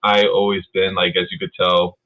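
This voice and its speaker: Deep-voiced laid-back man on a muffled mic. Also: level tone, moderate pace, fairly bright tone. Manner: easygoing, laughs often, does impressions. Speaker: streamer being interviewed. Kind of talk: casual chat.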